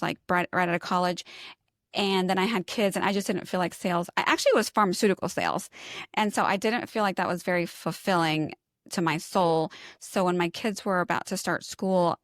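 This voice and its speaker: A woman's voice: fairly low pitch, no room sound, clean studio sound. A middle-aged woman from New York, not a native English speaker, telling personal stories in a casual, candid way as an interview guest. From New York, United States. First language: a language other than English. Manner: candid, informal, self-deprecating. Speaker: interview guest, lactation consultant. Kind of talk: casual conversational storytelling.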